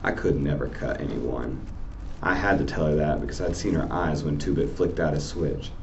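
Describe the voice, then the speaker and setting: A man's voice: a bass voice, moderate pace, darkish tone, muffled recording, slight background noise. A man, a teacher, narrating a novel aloud.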